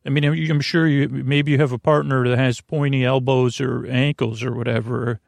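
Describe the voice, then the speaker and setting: A man with a creaky voice hosting a sleep podcast, meandering and halting as he restarts his phrases. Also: medium pitch, flat delivery, dry recording. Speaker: podcast host. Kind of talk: rambling sleep podcast monologue.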